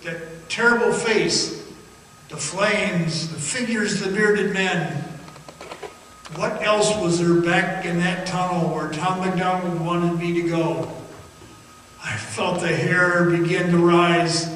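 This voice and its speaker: A man playing a frightened miner recounting a haunting underground ordeal as a halting, suspenseful radio theatre monologue. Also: sits high, fairly even intonation, a bit bright. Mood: uneasy dread. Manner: halting, suspenseful, dramatic storytelling. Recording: noisy, very echoey hall, distant mic.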